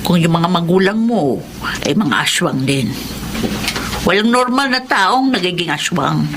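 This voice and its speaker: A performer playing a stern elder village seer in a radio horror drama, speaking gravely and deliberately. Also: mid-range voice, expressive. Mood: grave, explaining. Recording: noisy ambience behind the voice.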